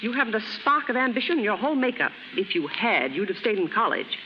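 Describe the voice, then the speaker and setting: A woman's voice: medium pitch, sing-song, fast, pushed and bright. An actress playing a stern, disapproving middle-aged mother with an imperious, scolding manner in a 1930s radio drama. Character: stern, nagging mother of grown sons, disapproving. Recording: faintly noisy, reverberant 1930s radio recording.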